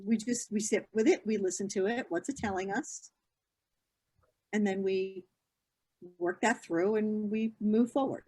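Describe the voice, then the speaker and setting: A woman in her fifties talks through grief as a therapist. Her voice sits at a middle pitch, and her delivery is earnest and explanatory, full of fillers and restarts. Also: measured pace, mellow. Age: 50s. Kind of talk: conversational interview answers.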